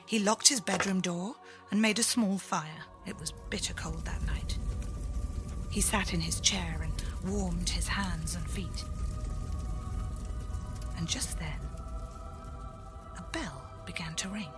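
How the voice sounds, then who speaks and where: Female storyteller for children's tales: low-pitched, slow, dramatic, soft music underneath. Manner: theatrical, deliberate, suspenseful phrasing.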